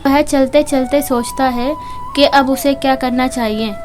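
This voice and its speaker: A woman's voice: sits high, steady tone, unhurried. A woman narrating a children's moral story from a script, as a storyteller. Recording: music behind the voice. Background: background music.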